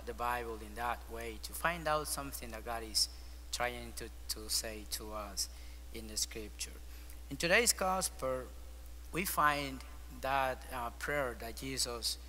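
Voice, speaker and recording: A priest whose first language is Spanish, speaking English with a Spanish accent. He gives a homily at the altar of a large church and often pauses to search for words. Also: medium pitch, pushed and bright. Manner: hesitant, searching for words with frequent ums. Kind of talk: weekday homily. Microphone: far camera. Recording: long church reverb, faint hum.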